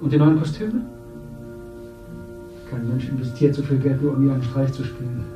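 A man's voice: medium pitch, fairly even intonation, normal speed, close to an everyday voice. A man playing a naive actor cast as a government minister, talking earnestly and self-assuredly in a 1970s radio drama. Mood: proud. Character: male actor turned TV minister, eager, naive. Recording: roomy distant radio play with music.

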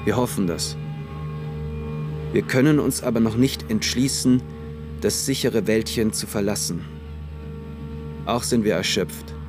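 A man reading scripted first-person literary narration for a radio play in a measured, sober manner. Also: lowish voice. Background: music.

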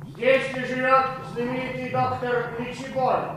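An actor playing a pompous, fussy baron and court minister in a fairy-tale audio play, ceremonious in delivery. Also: male voice, extremely high pitch, completely flat delivery, a little slow, sharp tone, exaggerated voice. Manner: ceremonious, proclaiming formal titles. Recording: very echoey, some background noise.

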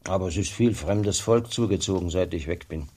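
An actor playing a blunt, grumbling former village policeman in a radio play, with a husky voice. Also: low voice, moderate pace, dark tone.

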